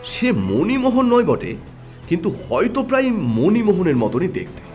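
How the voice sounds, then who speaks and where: A man's voice: sits high, huge pitch swings, moderate pace, darkish tone, faint music behind. An actor playing a keen, confident male detective who reasons emphatically in an audio mystery drama. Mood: certain, emphatic.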